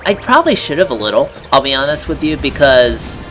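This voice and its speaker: A man's voice: mid-range voice, very animated, a little fast, sharp tone, poor audio. A man chatting casually as co-commentator on a video game playthrough. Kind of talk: casual chat. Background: game music.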